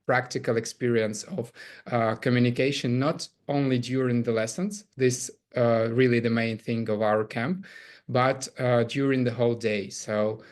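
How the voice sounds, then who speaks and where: Halting Ukrainian-accented man, flat delivery. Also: mid-range voice. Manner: hesitant, many filler pauses. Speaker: camp CEO presenting. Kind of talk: webinar presentation.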